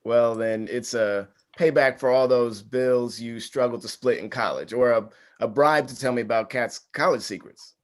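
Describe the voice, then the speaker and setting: An actor playing an awkward, self-deprecating, good-natured young groom in a comedy table read, casual and dry. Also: male voice, mid-range voice, moderate pace, hardly any pauses, natural voice. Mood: playful.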